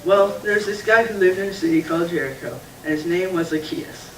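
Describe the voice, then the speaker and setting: High-voiced man telling a Bible story. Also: brisk, fairly bright tone, a little hiss or hum. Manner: patient, explaining storyteller. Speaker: puppeteer playing Carl. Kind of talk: church puppet show, Bible story telling. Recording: echoey room, distant mic.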